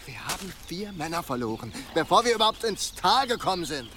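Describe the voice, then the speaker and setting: An actor in a German audio drama playing an outspoken medieval soldier, urgent and emphatic. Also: male voice, sits high, very animated, fairly quick, sharp tone, a little hiss or hum, full character voice. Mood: alarmed reporting.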